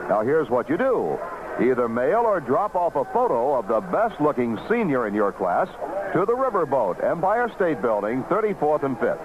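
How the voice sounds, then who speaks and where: A man with a mid-pitched, very lively delivery broadcasts baseball commentary and sponsor copy. The sound is that of dull, lo-fi 1970s radio. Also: noisy background.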